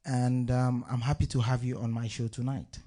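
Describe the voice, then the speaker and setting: A man's voice: mid-range voice, little pitch movement, moderate pace, warm tone. A man hosting a live talk show on stage at an art venue, introducing guests in loose, chatty patter.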